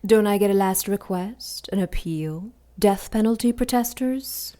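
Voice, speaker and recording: A woman's voice: mid-range voice, unhurried, dark tone, natural voice. An actress playing an ancient female demon in an audio drama, proud, wicked and taunting. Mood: sardonic. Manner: sardonic, taunting.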